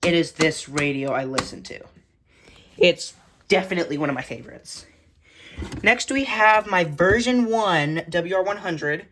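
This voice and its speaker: A woman's voice: fairly low pitch, lively intonation, measured pace, fairly bright tone, slight background noise. A woman giving a casual, blunt, informal show-and-tell tour of a collection as a vlogger. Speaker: vlogger showing a radio collection.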